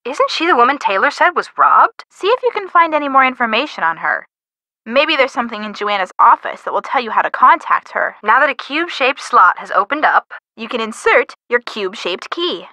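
Bright, high-voiced helpful game sidekick. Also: female voice, sing-song, fairly quick, dry recording. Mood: helpful, nudging. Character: helpful, nudging female hint-giver in a mystery game. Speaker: game character giving hints to the player. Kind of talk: scripted video game dialogue.

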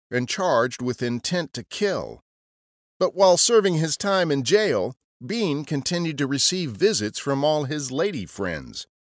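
A man's voice: mid-range voice, no room sound, clean studio sound. A man narrating scripted history as a podcast storyteller. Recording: guitar music under the voice. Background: guitar music.

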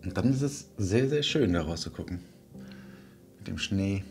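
A man in his forties casually talking through a video diary, unhurried and thinking aloud with pauses, on a clip-on mic. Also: low voice, expressive, a little mellow, faint music behind. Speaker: vlogger, audio drama maker. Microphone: wireless clip-on lavalier.

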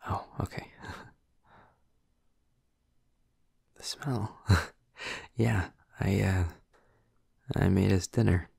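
Low, breathy, gentle vampire boyfriend, acted by a man. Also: fairly even intonation, takes it slowly, dry recording, exaggerated voice. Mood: shy, caring. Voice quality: breathy, husky, often near a whisper. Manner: gentle, hesitant, softly attentive. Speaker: audio roleplay performer. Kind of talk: intimate audio roleplay, ASMR-like.

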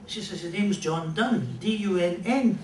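An older man with a Scottish accent telling jokes and a rambling story at a stand mic on a small club stage, in a dry, unhurried way. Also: sits high, slight background noise. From Scotland. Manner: dry, rambling joke delivery with pauses. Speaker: comedian and musician. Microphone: stand mic, filmed from a distant camera. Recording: roomy club sound from far off.

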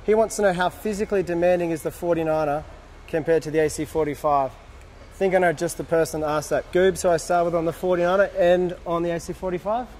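Brief: young male host, slightly high voice, cheerful and jokey, outdoor handheld vlog. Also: darkish tone, slight background noise. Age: young adult. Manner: cheerful, joking, reads questions aloud. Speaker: sailor hosting a fan Q&A. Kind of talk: casual on-camera chat. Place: outdoors at a boat tent by a harbour. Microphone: handheld camera close up.

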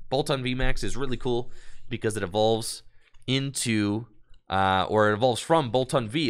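Guy hyping up card pulls for chat, smooth clear voice, getting a bit hoarse. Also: medium pitch. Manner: enthusiastic, hype-building, reads card text aloud. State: losing his voice. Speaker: card opening host. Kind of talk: casual card-opening commentary to live chat.

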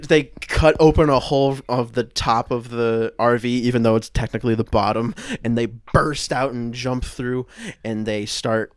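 A man's voice: mid-range voice, fairly even intonation, normal speed, smooth, no room sound. A man in his twenties casually narrating an improvised story on a video call. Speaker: improv podcast performer. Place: home room, video call.

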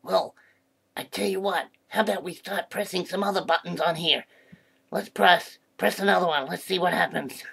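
Very creaky, husky mischievous duck played by a woman. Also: on the low side, steady tone, moderate pace, a bit bright, exaggerated voice. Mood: upbeat, teasing. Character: playful, mischievous talking duck who loves pressing buttons. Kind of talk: children's comedy skit.

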